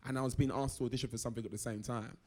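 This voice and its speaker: A man's voice: mid-range voice, racing. Easygoing young man telling stories. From East London, England. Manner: easygoing, animated storytelling with slang. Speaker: actor guest. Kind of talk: casual live interview chat.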